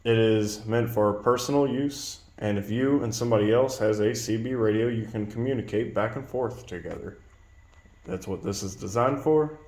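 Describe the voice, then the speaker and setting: A man giving a casual product demonstration, explaining things in a plain-spoken way as a reviewer. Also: on the low side. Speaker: presenter reviewing radio gear. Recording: clear background noise.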